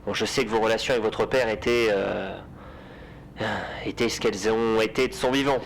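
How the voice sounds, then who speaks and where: A man's voice: fairly low pitch, fairly even intonation, vocal fry. Man acting an awkward notary on noisy voicemail. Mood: awkward, formal. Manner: formal, halting, tactful. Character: awkward, courteous notary leaving a phone message. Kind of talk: French audio drama acting. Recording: voicemail message with faint noise.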